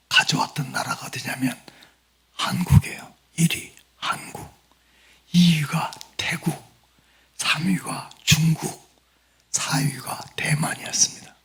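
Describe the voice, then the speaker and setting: A male missionary preacher who has lost his voice to a throat cold, giving an earnest sermon in a hoarse whisper and clearing his throat now and then. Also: on the high side, a bit bright. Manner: apologetic, earnest, plain storytelling.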